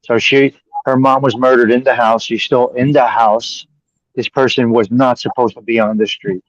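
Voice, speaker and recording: A man's voice: mid-range voice, steady tone, moderate pace, no echo. Man hosting with a muffled, dry sound. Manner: rambling, circles back and repeats himself. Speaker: podcast host. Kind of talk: casual conversation.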